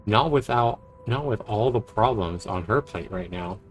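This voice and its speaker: Visual novel lead: a low, wry, introspective man, guilt-ridden, with music underneath. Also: lively intonation, unhurried. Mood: pensive. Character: brooding, guilt-ridden man with a dry charm. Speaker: narrating protagonist. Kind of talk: visual novel voice acting, introspective narration and dialogue.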